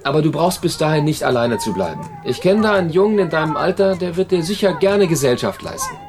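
A man's voice: a little high, expressive, fast talker. A man playing a strict but caring carer in a children's home in a radio drama, explaining things firmly and plainly. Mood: stern, reassuring.

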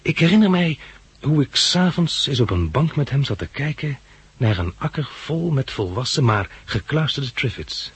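Keen young plant enthusiast, polite storyteller, hazy husky sound, medium pitch, 1960s Dutch radio play. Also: male voice, very animated, normal speed, full character voice. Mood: reflective narrating. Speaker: lead character and narrator.

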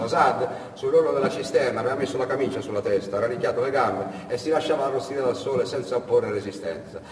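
A man telling a story as a theatrical monologue, with a dramatic, deliberate delivery. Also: mid-range voice. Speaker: actor-storyteller. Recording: echoey hall, distant mic, background noise.

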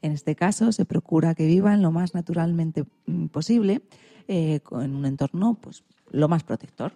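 Woman radio host, pretty deep voice, mellow sound, reading out the show segments. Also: moderate pace. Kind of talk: scripted radio magazine presenting.

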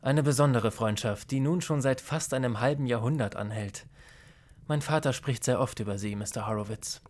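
A man's voice: medium pitch, moderate pace, lightly acted. A man playing a polite, charming young apprentice gardener in a radio play, courteous and gallant. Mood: charming, friendly. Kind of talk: German radio-play acting.

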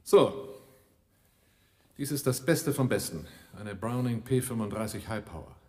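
A self-assured male arms dealer in a radio drama. His voice is fairly low and husky, with a suave, ironic delivery that suggests a sly salesman. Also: unhurried, dark tone. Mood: smooth salesmanship. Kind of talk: German radio drama acting.

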